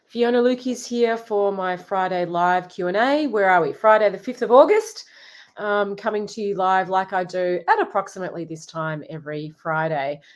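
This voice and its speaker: A woman's voice: mid-range voice, normal speed. Mid-aged lady coach, stuffy head cold, chatty live q&a, ums and restarts. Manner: chatty, warm greetings, frequent ums and restarts. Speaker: relationship coach. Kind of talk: live Q&A chat.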